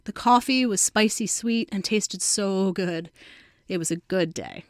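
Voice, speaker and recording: A woman's voice: mid-range voice, a little slow, no echo, studio quality. A woman of about forty reading her own scripted personal essay as a narrator. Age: around 40.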